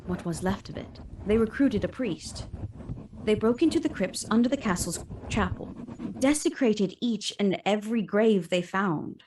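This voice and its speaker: An actress playing a solemn young gentlewoman narrating an ordeal in a radio drama, measured, formal and restrained. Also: mid-range voice, expressive, close to an everyday voice, poor audio. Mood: somber recounting.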